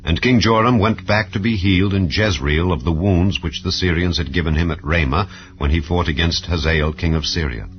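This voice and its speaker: A man reading scripture aloud in a slow, solemn, measured way. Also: deep voice, lo-fi sound. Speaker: Bible reader.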